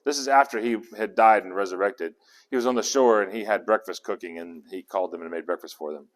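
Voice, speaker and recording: A man's voice: on the low side, quick pace. Guy leading a bible study, chatty, keeps asking the group stuff, echoey hall. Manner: conversational, asks the group questions, restarts words. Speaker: Bible teacher. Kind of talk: interactive Bible study teaching. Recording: long echo of a hall.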